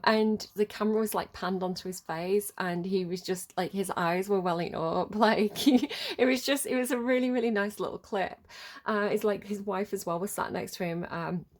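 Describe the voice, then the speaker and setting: Woman, middle-aged, slightly stuffed-up with a cold, medium pitch, casual tarot host, wandering conversational delivery. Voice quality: slightly congested, sniffly from a cold. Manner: hesitant, conversational, loosely wandering. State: has a cold. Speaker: tarot reader and video host. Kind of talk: casual rambling tarot reading chat.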